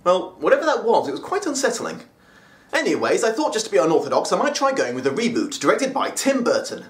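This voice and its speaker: A man's voice: sits high, wildly swooping pitch, quick pace. A young man hosting a scripted comedic review, sarcastic and prone to bursts of mock outrage. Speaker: video essay host.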